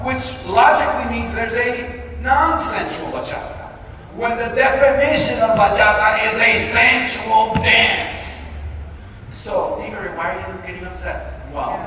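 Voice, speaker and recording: A man with a high-pitched voice delivers an emphatic, preachy talk to a live group. He leans on rhetorical questions and slips into imagined dialogue. The sound is distant and swimming in heavy echo, with clear noise over it. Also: fairly bright tone. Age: middle-aged. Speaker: dance workshop speaker. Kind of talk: impassioned informal public speech to a live group. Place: large echoing room with a live audience. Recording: very echoey noisy hall, far from mic.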